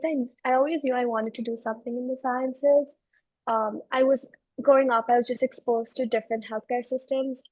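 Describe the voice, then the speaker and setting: A woman's voice: fairly high pitch, darkish tone, dry recording, rough recording. A woman in her twenties from Belgium, explaining things conversationally as an interview guest. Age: 20s. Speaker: interview guest, research analyst.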